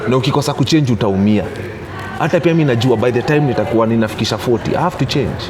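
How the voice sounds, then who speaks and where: A man's voice: medium pitch, rapid-fire, background noise. A Kenyan man in his thirties, a gospel DJ giving an interview, talking emphatically and often checking that he is understood. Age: 30s. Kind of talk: casual interview, mixing English and Swahili.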